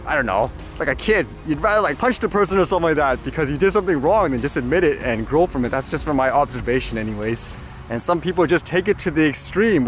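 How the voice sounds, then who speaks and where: A man's voice: a little high, a bit bright. A man giving casual, off-the-cuff commentary in a rambling, conversational way, as a vlogger would.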